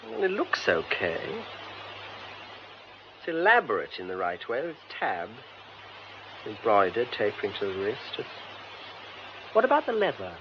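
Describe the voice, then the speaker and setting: An actor playing a witty, sceptical British theatre designer in a radio drama, arch and teasing, with dry, theatrical turns of phrase. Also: male voice, fairly low pitch, huge pitch swings, moderate pace, pauses often. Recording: dull, noisy radio recording.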